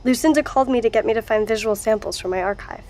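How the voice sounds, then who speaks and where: An actress playing a wry, matter-of-fact researcher and archivist in an audio drama. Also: female voice, fairly high pitch, fast, darkish tone, natural voice.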